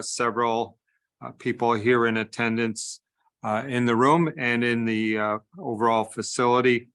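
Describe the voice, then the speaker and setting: Man, moderator, flat tone, sharp bright voice, halting, lots of filler words. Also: medium pitch, smooth. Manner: hesitant, many filler words. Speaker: call moderator. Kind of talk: meeting moderation. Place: meeting room.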